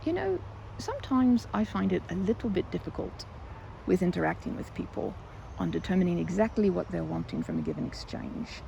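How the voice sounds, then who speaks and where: A shy, nervous young woman played by a female voice actor rambles through an ASMR roleplay with lively, swooping pitch and a creaky edge. She stammers, doubles back on her words and slips into oddly formal, wordy phrasing. Clear ambient noise sits behind her. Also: fairly quick, rough recording. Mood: awkward, earnest. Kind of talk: ASMR roleplay, one-sided audio drama.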